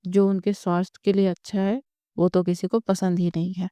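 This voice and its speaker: A woman plays a calm, resigned mother in a cartoon moral story. Her delivery is measured and even, in a smooth, mellow voice. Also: lowish voice, dry recording, studio quality, close to an everyday voice. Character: calm, resigned elder daughter-in-law and mother. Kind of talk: Hindi cartoon story dialogue.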